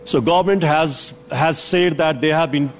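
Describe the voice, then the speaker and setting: A man's voice: a little high, normal speed, faint music behind. A man reporting live for a news program, giving a careful, earnest account with frequent restarts.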